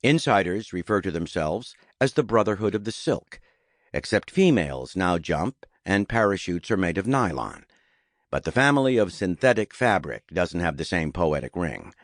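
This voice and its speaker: A man reading a nonfiction audiobook in a measured, deliberate way, as a scripted narration. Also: deep voice, dry recording, clean studio sound. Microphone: close studio microphone.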